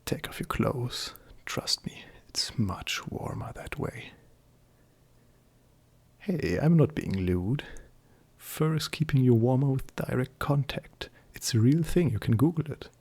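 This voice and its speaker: Man voicing a furry male friend, breathy whisper, slow, affectionate teasing, soft hesitant flirting, roleplay ASMR. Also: mid-range voice, lively intonation, heavily acted. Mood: flirty, teasing.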